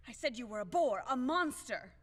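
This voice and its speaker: An actress playing a proud, fiery widow who is easily offended, haughty and melodramatic, in an audio play of a classic farce. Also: female voice, on the high side, animated, moderate pace, long reverb tail. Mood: furious, defiant.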